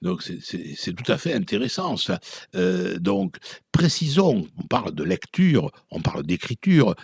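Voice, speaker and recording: A man hosting a conversational radio interview, putting his questions in a halting, reflective way. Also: on the low side, very animated, vocal fry, darkish tone, breathy edge, no room sound, studio quality.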